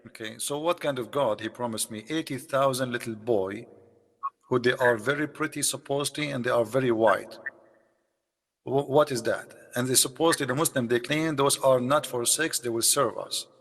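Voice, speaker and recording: A man's voice: medium pitch, moderate pace, fairly bright tone, big-room sound. A man whose first language is Arabic, hosting a debate and pressing his opponent with mocking questions. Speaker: debate host. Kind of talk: live debate call.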